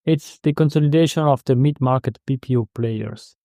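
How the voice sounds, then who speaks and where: Guy hosting a podcast interview, english isnt his first language, mellow voice, kinda halting, no room echo. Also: mid-range voice, clean studio sound. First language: not English or German. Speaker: podcast host interviewing a guest. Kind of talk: conversational interview.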